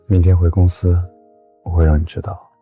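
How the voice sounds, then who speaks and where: An actor playing a coolly menacing company boss in an animated short drama. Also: male voice, low-pitched, normal speed, slightly rough, highs cut off, faint music behind. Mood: coolly threatening.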